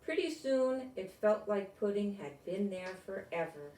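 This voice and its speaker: An older woman reading a picture book aloud with chatty asides, explaining gently and simply as if to children. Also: mid-range voice, animated, measured pace. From United States. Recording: roomy echo, distant microphone.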